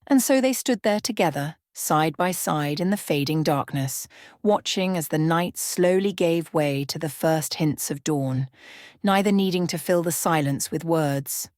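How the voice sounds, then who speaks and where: Female ASMR narrator: slightly low register, measured dramatic storytelling, hushed, very dry close-mic sound. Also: no room sound. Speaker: storyteller, channel host.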